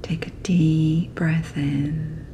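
Very slow, hushed woman guiding sleep meditation. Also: low voice, level tone, a little hiss or hum. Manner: soft, breathy, whispery, soothing. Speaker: guided meditation host. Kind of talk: guided sleep meditation narration.

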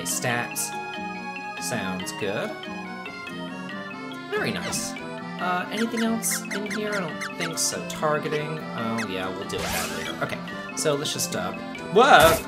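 A chatty, exclamatory let's play host giving casual commentary on a video game. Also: mid-range voice. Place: indoors, voice over game audio. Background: loud game music.

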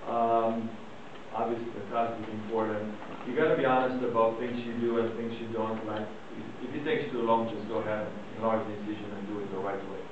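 A middle-aged man with a Romanian accent giving a conference lecture from a podium, brisk and conversational, asking questions and answering them himself. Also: lowish voice, flat delivery, background noise. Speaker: heart surgeon lecturer. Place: large darkened conference hall. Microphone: podium mic, filmed by a far camera. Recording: echoey hall from far off, dull.

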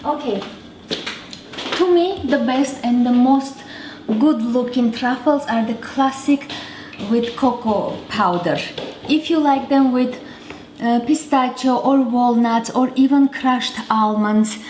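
A woman's voice: fairly high pitch, takes it slowly, a little mellow, a little hiss or hum. A woman in her thirties hosting a home cooking show, chatting casually as she cooks in her kitchen. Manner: chatty, talks while cooking. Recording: roomy kitchen with faint clatter.

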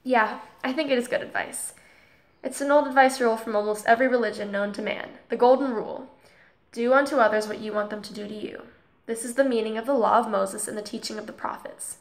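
A woman acting as an earnest student girl in an audio drama. Her voice is fairly high, and she explains her principles in a measured, slightly preachy way. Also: fairly even intonation, close to an everyday voice. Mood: calm, earnest. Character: earnest, tolerant, principled transgender girl student named Holly. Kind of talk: scripted audio drama dialogue, speech-like monologue.

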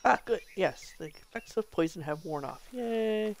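A game streamer giving casual live commentary, laughing often and correcting themselves, with a voice that is going hoarse. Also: medium pitch, lively intonation, moderate pace, slight background noise.

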